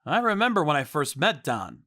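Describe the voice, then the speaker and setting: A man narrating a manga dub story in the first person with earnest, expressive delivery. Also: a little high, normal speed. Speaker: narrator and voice actor.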